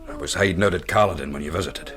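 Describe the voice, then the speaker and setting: An actor playing a bold, shrewd, commanding fugitive soldier with a Scottish accent and a theatrical streak, in period radio drama. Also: male voice, low voice, rapid-fire, creaky, background noise. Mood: brisk, confiding.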